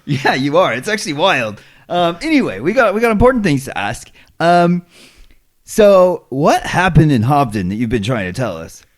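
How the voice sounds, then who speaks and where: Lively, high-voiced man at a game table. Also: normal speed. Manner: chatty, giggly, hesitant. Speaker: player.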